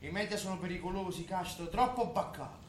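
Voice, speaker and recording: An actor playing a slippery, bombastic politician on stage, speaking in an emphatic, insinuating, conspiratorial way. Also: male voice, high-pitched, brisk, close to an everyday voice. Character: slippery, bombastic male politician hinting at intrigue. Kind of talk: comic stage acting. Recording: echoey theatre, faint noise.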